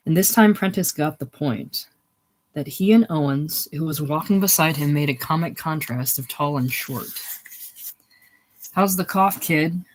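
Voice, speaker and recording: A man's voice: on the high side, normal speed, slight background noise, poor audio. Man reading a war novel aloud. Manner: stumbles and rereads phrases. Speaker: audiobook reader. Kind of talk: narrated reading of literary war fiction.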